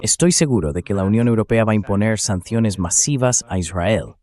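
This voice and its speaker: A man's voice: on the low side, animated, a little fast, darkish tone. A man giving conversational, emphatic political commentary as an interview guest, often digressing as he talks.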